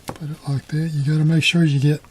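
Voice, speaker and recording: A man's voice: fairly high pitch, flat delivery, a little fast, a little hiss or hum, poor audio. A middle-aged man giving a casual hands-on tutorial, talking in a folksy, colloquial way and half muttering to himself as he works. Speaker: repair hobbyist.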